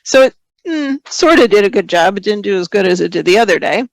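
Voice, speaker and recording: Woman running a webinar, a bit hoarse, gravelly, dry close sound. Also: medium pitch, brisk, no echo, rough recording. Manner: relaxed, explains while working, reads viewer questions aloud. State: slightly hoarse, clearing throat. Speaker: photography webinar host. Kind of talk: screen-share software tutorial.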